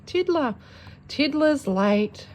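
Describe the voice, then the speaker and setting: A woman's voice: high voice, lively intonation, slow pace, a little mellow, pitched above the performer's own voice. A woman voicing a prim lady fish teacher calling out names in a storybook, drawing words out.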